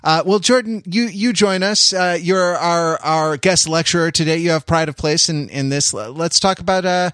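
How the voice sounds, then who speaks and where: A man with a fairly high voice hosts a casual panel show. His delivery is halting and playfully theatrical. The sound is close and dry, without room echo. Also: a bit bright, smooth. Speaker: podcast host. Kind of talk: casual panel podcast talk.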